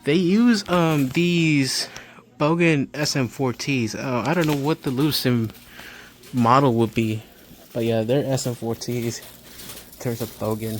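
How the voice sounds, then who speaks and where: Male hobbyist vlogger, medium pitch, halting casual commentary, handheld recording in a large store with light background noise. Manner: halting, absorbed enthusiast's narration. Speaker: amateur vlogger. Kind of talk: casual walk-through vlog commentary. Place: large home improvement store, indoors. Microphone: handheld phone while walking.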